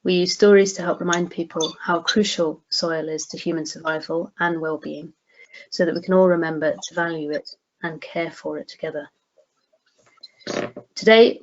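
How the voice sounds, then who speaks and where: Woman presenting online, slightly noisy dull audio. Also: fairly low pitch. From West Highlands of Scotland. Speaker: presenter, playwright. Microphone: video call microphone. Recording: dull video call with background noise.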